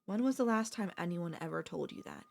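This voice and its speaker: A woman playing an obsessive, possessive sister-in-law in an intimate ASMR audio roleplay, coolly confessing her love with deliberate pauses. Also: lowish voice, animated, gravelly, a little mellow. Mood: tender, possessive. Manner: slow, deliberate, with long pauses.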